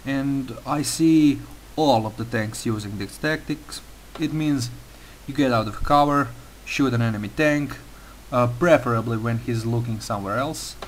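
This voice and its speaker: A young man with a Croatian accent narrates a game tutorial at a mid-range pitch, working through his sentences with frequent pauses and filler sounds. A faint hiss sits under his voice. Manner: careful non-native phrasing with frequent uh fillers. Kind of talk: gameplay tutorial voice-over.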